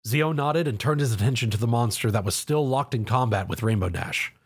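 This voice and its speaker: A man reading an action story aloud as a scripted narration in an animated way. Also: mid-range voice, fairly quick, breathy edge.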